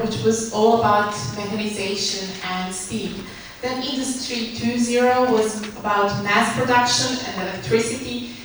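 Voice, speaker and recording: Lady hosting a conference panel, kinda high voice, super echoey hall. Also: fairly bright tone. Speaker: panel moderator. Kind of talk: moderating a conference panel in English. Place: large conference hall stage. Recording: distant echoey hall microphone, faint noise.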